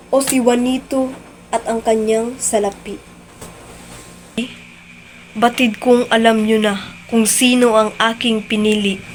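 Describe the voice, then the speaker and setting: A woman with a Filipino accent playing a vain, wealthy young woman torn over love, in a theatrical monologue with deliberate dramatic pauses. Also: on the high side, darkish tone, slight background noise, low-quality recording. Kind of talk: theatrical student monologue in Tagalog.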